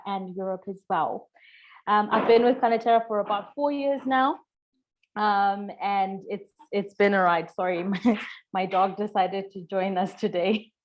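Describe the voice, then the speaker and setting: A woman from Zimbabwe, a programs manager, giving an upbeat, chatty webinar presentation with lots of fillers. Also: mid-range voice. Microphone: video call. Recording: dull lo-fi video call audio.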